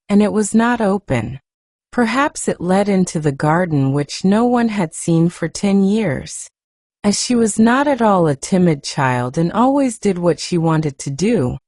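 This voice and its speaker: Female audiobook reader: soft, hushed and gentle, slightly low, mellow tone, lively storytelling intonation. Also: no echo, studio quality. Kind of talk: softly read storybook narration.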